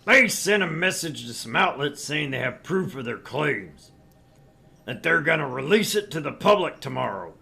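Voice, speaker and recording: A man's voice: fairly high pitch, sing-song, normal speed, creaky, sharp tone, heavily acted. A man voicing a brash, crude, sleazy middle-aged tabloid editor in a visual novel read-through, with a pompous, pushy manner. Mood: gruff, matter-of-fact.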